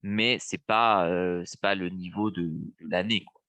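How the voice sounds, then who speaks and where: A man's voice: deep voice, steady tone, a little slow, sharp tone, no room sound. A young man with a stuffy nose from a cold, casually walking viewers through a live trading lesson with lots of fillers. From France. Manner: casual, thinking aloud with frequent fillers. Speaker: trading webinar host.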